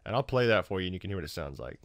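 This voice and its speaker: Low-voiced man narrating a casual tutorial. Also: animated, very fast, no room sound, clean studio sound. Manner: informal, self-deprecating, wry. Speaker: tutorial host.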